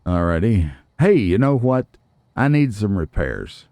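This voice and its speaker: A man's voice: fairly low pitch, sing-song, a little slow, mellow, no room sound, clean studio sound. A man giving casual, playful gameplay commentary, talking back to characters in a theatrical way. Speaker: let's play host.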